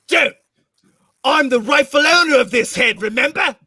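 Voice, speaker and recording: A man acting a brash, combative space adventurer with a raspy voice in a comedic script read. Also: very high voice, lively intonation, normal speed, sharp tone, no echo, clean studio sound, full character voice. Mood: defiant.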